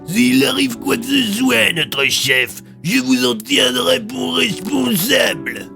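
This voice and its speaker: A man's voice: very high-pitched, big pitch swings, slow, gravelly, pushed and bright, faint music behind, heavily acted. A man playing a blustering authoritarian colonel in an audio drama. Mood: threatening. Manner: barking, threatening. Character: blustering authoritarian colonel, meek before his superior.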